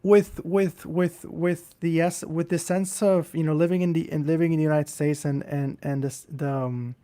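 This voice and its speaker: A man hosting a casual interview, speaking reflectively and haltingly, searching for words in an intellectual way and repeating himself. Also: a little high, steady tone, warm tone. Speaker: interviewer and host.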